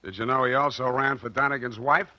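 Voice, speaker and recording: An actor playing a wry, hard-boiled American private detective in a 1940s radio drama, speaking in a laconic, sardonic way. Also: male voice, mid-range voice, fairly even intonation, quick pace, no echo, highs cut off, close to an everyday voice. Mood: dry, wry. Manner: laconic, sardonic, hesitant fillers. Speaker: detective and first-person narrator.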